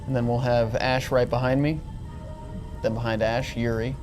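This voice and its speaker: A man's voice: mid-range voice, little pitch movement, normal speed. A man playing a tabletop role-playing game, arguing his case persuasively in casual group discussion. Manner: reasoning, persuasive. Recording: background music under the voice.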